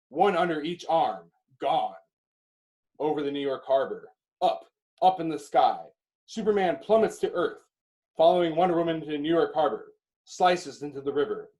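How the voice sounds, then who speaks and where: A man reading screenplay directions as dramatic narration, in emphatic clipped phrases with pauses. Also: on the high side, a little mellow, rough recording.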